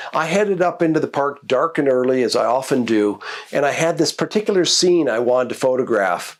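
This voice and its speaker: A man, a nature photographer and host of his own channel, sounds congested from a cold as he tells the stories behind his photos in a relaxed, reflective way. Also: on the high side. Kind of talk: casual narrated photo recap.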